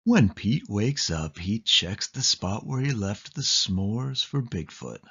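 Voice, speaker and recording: Deep-voiced man reading expressively to children. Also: lively intonation, takes it slowly, fairly bright tone, husky, lo-fi sound. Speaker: storyteller reading a picture book for children. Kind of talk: animated read-aloud narration for young children.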